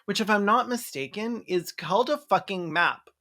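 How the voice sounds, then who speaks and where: Video essayist roasting a movie, sarcastic and sweary, medium pitched voice. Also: animated, normal speed, sharp tone. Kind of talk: comedic film review commentary.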